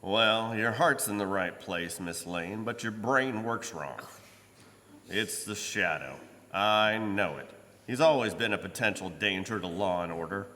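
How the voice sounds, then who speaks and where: Commissioner for radio play male actor: low, forceful, gruff, sarcastic, hard-nosed; live hall reverb. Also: measured pace. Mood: stern. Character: sour, sleepless, hard-nosed police commissioner. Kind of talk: old-time radio drama acting. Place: live performance in a church.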